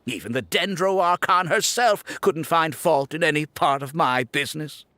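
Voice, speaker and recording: An actor playing a smug, scheming architect in a video game, speaking in a condescending, self-justifying way. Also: male voice, a little high, moderate pace, bright, forceful tone, no echo. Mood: dismissive, defensive. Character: smug, scheming male architect running a scam academy. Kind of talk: theatrical video game voice acting. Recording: game audio with background music.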